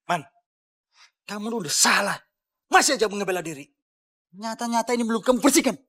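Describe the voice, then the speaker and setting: A strict indonesian dad in a tv drama, sharp forceful voice, scolds a lot. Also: male voice, high voice, expressive, fairly quick, exaggerated voice. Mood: scolding. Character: stern father and wealthy householder, quick to scold.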